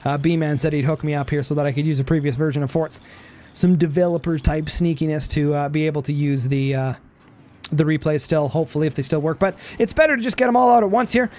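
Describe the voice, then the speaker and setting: Hoarse, high-voiced male game caster. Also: fast talker. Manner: energetic, rambling, many fillers and restarts. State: hoarse, losing his voice. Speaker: game commentator. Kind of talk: live play-by-play commentary.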